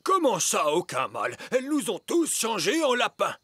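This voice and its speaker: A man's voice: high voice, huge pitch swings, moderate pace, fairly bright tone, husky, no echo, heavily acted. A man playing a stern, alarmist elf elder in a French cartoon dub, pompous and indignant in manner.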